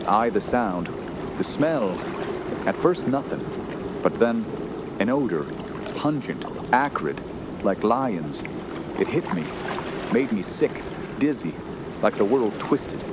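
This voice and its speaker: An actor playing an earnest, shaken young woodsman who tells his story in a radio horror drama, halting and solemn. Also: lowish voice. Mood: uneasy. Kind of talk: radio horror narration and acting. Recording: loud steady outdoor background noise.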